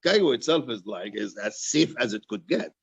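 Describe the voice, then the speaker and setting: A man from Egypt, a poet and author, talking informally and haltingly on a video call, often repeating his words. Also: medium pitch, big pitch swings, gravelly, breathy edge. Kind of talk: informal question-and-answer talk after a reading. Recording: roomy, dull video-call sound.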